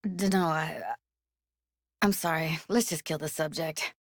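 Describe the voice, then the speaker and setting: A woman's voice: low-pitched, steady tone, a little slow, dry recording, clean studio sound. An actress playing a tough, candid young woman technician in a video game, talking in a casual, slangy, blunt way. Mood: apologetic. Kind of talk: naturalistic game voice acting.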